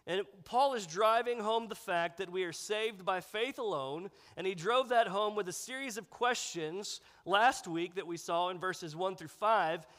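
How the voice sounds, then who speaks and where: A man's voice: sits high, fairly bright tone. A man preaching a sermon as pastor, sounding congested and nasal from a sinus infection, in a conversational way with fillers. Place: church sanctuary. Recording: long hall reverb.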